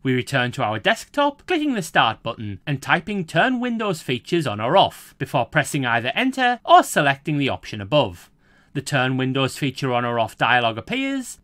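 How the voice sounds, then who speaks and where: A man reading a scripted, step-by-step software tutorial in a measured, formal way. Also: medium pitch, no echo. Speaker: tutorial narrator. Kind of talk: scripted screen-recording voice-over.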